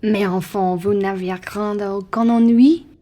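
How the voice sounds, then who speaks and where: A woman's voice: mid-range voice, steady tone, measured pace, dark tone, lightly acted. A woman playing a courteous, condescending upper-class traveller in an amateur radio play, formal and persuasive. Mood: soothing.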